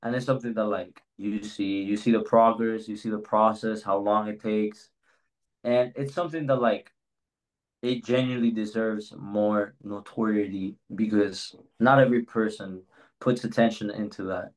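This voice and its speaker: A young man from Spain co-hosting a relaxed student talk show. His voice sits low, and he speaks earnestly and thoughtfully, pausing with fillers as he goes. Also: rough recording. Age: young adult. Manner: earnest, reflective, pauses with fillers. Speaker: co-host. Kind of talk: casual chat.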